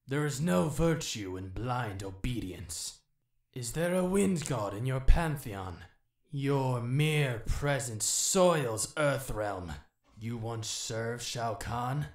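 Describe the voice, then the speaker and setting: A man's voice: medium pitch, echoey room. A man voicing a solemn, noble god of wind from a video game, grave and formal. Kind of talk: fan impression of video game deity.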